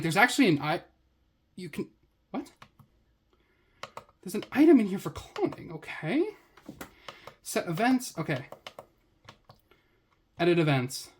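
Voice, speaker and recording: A woman's voice: mid-range voice, slight background noise. A woman reviewing a gadget in a casual unboxing commentary, with a sarcastic, wry way of talking.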